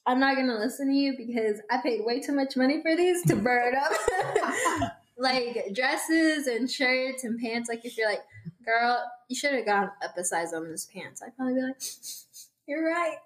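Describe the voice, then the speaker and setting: A woman's voice: high-pitched, animated, normal speed. A young woman chatting casually with friends, talkative and laughing easily. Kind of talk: casual chat. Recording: echoey room.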